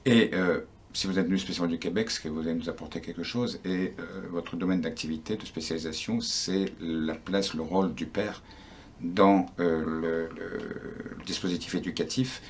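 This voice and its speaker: A man's voice: low voice, fairly even intonation, normal speed, a little hiss or hum. A man interviewing a guest for a news outlet, chatty and often restarting his sentences.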